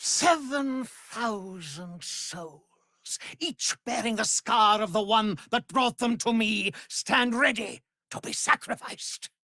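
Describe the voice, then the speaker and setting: An actor playing a cruel, pompous immortal vampire lord in a fantasy video game, menacing and theatrical, moving between hushed threats and grand declarations. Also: male voice, sits high, lively intonation, takes it slowly, gravelly, no echo, exaggerated voice. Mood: ritual exaltation.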